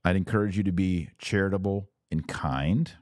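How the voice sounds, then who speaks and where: A man's voice: deep voice, normal speed, darkish tone, dry recording. An American man speaking as a podcast host in conversational religious commentary, emphatic, often in short repeated phrases.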